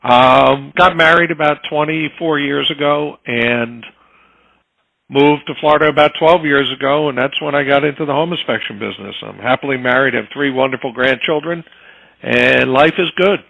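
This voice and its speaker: A man's voice: mid-range voice, moderate pace, creaky, pushed and bright, rough recording. A man in his fifties from New Jersey, a home inspector telling his story in an easygoing interview. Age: 50s. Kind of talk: casual interview talk.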